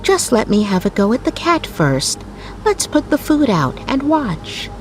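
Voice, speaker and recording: A woman voicing a determined, coldly cheerful young lady in a storybook, brisk and matter-of-fact about cruelty. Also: on the high side, sing-song, faint music behind, voice pushed up. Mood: brightly insistent. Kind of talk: storybook character voice.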